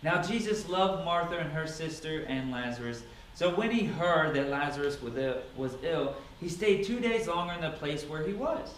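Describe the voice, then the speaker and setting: A man's voice: fairly high pitch, expressive, normal speed, few pauses, a bit bright. A man giving a dramatic, emphatic scripture reading with theatrical delivery. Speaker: Bible reader. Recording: echoey room, distant mic, noisy.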